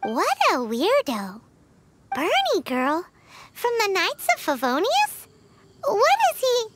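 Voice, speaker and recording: A woman voicing a small, childlike fairy companion in an anime video game, excitable and cheeky, with squeaky, exclamatory delivery. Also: slow pace, full character voice. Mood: puzzled. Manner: exclamatory, exaggerated cartoon delivery. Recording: game music behind the voice.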